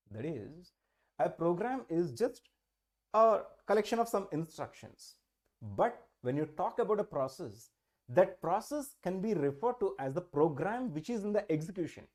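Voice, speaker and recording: High-voiced man teaching a live online class. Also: a little mellow. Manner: repetitive, rhetorical questions. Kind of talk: online exam-prep lecture.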